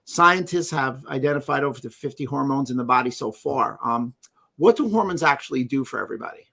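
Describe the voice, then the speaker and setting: Male host, upbeat, mid pitch, reads intros with quick asides. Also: animated, creaky, bright, forceful tone. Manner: upbeat, reads guest bio with small asides. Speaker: podcast host. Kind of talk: interview introduction and questions.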